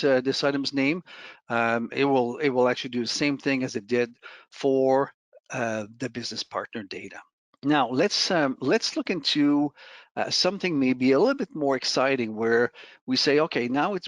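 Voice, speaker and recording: A man walks through software on a shared screen in an informal, conversational manner. His voice sits at an average male pitch. He explains things step by step, and the recording is close and dry, with little room sound. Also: dry recording. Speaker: software demo presenter.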